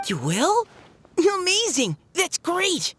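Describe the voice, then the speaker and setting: A woman voicing an earnest, caring, excitable young woman in a video game, polite and a little flustered. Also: extremely high pitch, slow, a little hiss or hum, full character voice. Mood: flustered, excited.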